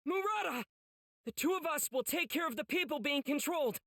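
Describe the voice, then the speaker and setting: An actor voicing an earnest, kind-hearted teenage boy swordsman in an anime game, youthful and urgent, with a slightly husky voice. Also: high voice, animated, a little fast, sharp tone, dry recording, studio quality, exaggerated voice. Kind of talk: anime game cutscene acting.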